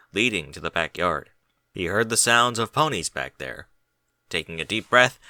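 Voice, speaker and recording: Male audiobook narrator, mid pitch, very lively intonation, dramatic phrasing, close dry sound. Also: no echo. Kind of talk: expressive scripted story narration.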